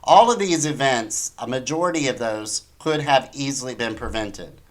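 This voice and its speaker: A man's voice: medium pitch, normal speed. A man hosting an opinionated talk show, commenting on the news in an incredulous, emphatic way with rhetorical questions. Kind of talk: opinionated news commentary, reading articles aloud.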